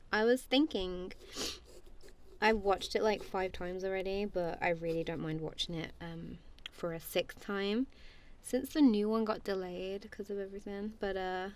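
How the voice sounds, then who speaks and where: Playful, creaky-voiced woman in slow ASMR chat. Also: medium pitch. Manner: playful, giggly, self-deprecating, apologetic. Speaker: ASMR roleplay performer. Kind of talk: goofy, friendly ASMR roleplay, casual one-sided chat.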